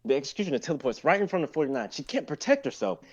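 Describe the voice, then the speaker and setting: A man's voice: a little high, sing-song, very fast, highs cut off. A young man narrating stage directions in a live amateur script reading. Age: young adult.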